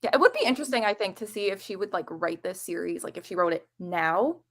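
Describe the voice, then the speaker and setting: A woman's voice: mid-range voice, fast talker. A woman co-hosting a casual book chat, reasoning aloud in an informal, tentative way. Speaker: co-host of a book readalong live show.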